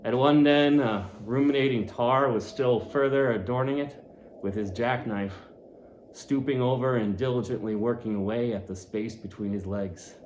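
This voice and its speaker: A man reads a classic novel aloud with drama, rising and dropping his voice for effect. His voice sits mid-range. The room gives a noticeable echo with a faint hum of ambience. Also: normal speed. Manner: expressive, shifting pitch and loudness for effect. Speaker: audiobook reader.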